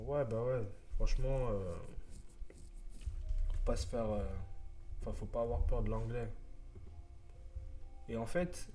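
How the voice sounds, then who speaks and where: A man's voice: medium pitch, darkish tone. A young man chatting casually while he codes on a live stream, rambling and muttering to himself between thoughts. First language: French. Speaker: streamer, web developer. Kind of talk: casual live-coding chat.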